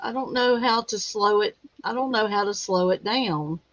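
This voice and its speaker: A woman's voice: mid-range voice, normal speed, a bit bright. A woman hosting a casual livestream chat, folksy in the way she addresses her viewers. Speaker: livestream host. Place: indoors at a computer. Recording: muffled, dull livestream audio.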